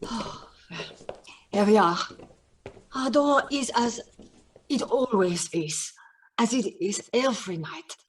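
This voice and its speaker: A stern older household madame in a radio play. Her husky voice moves slowly, in a scolding guardian's manner. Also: on the high side, lively intonation, heavily acted. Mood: weary, reluctant. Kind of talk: radio drama acting.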